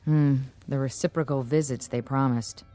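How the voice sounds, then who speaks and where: A woman's voice: a bass voice, steady tone, moderate pace, mellow. An actress playing a casual office assistant chatting with her boss in a radio drama, dry and conversational. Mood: dry, musing.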